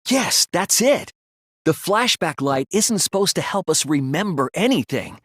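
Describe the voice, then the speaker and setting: Teenage boy detective, slightly high, husky, earnest, deliberate, dramatic video game deduction. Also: sing-song, a bit bright, dry recording, studio quality, full character voice. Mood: forceful, shocked, then pensive, deductive. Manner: deliberate, reasoning aloud, emphatic when refuting. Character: earnest, thoughtful teenage male detective, soft-spoken but resolute.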